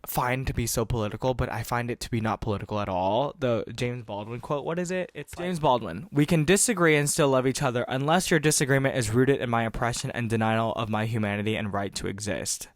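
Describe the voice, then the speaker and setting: A man's voice: mid-range voice, quick pace, hardly any pauses, no echo. A man in his twenties, a podcast host raised in Texas and California, rambling passionately in a casual monologue with some swearing.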